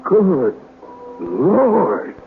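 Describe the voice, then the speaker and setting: An actor playing an uneasy but stubborn ghost hunter in a radio drama, dramatic and wary in delivery. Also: male voice, sits high, wildly swooping pitch, drawn-out words, exaggerated voice. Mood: horrified. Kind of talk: 1970s radio drama acting. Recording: muffled old radio broadcast, faint music underneath.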